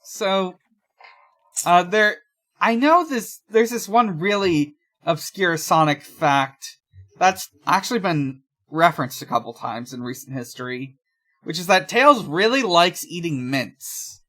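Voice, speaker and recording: Male podcast co-host, unhurried pace, casual and matter-of-fact, pausing to think aloud. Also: high voice, animated, sharp tone. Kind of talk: casual chat.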